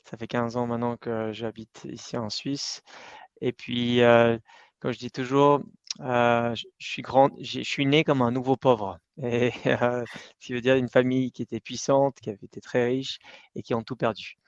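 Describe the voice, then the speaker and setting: A man's voice: mid-range voice, flat delivery, moderate pace, clean tone, no room sound. A middle-aged man, a company cofounder, talking as a guest in a casual interview, telling his story with lots of fillers and restarts. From Iran and Switzerland, raised in the United States. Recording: dull, slightly lo-fi dry recording.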